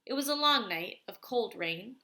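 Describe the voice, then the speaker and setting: A woman's voice: fairly high pitch, animated, normal speed, bright, forceful tone. Woman reading as a patient, wise old grandfather. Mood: reminiscing. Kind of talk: storybook read aloud.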